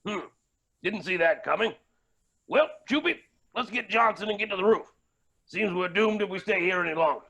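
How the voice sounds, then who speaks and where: Raspy, high-pitched megalomaniac villain. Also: male voice, expressive, moderate pace, pauses often, sharp tone, exaggerated voice. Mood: wry. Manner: grandiose, theatrical speech. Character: villainous megalomaniac billionaire, menacing father, gleefully deranged. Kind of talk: staged reading of a comic play.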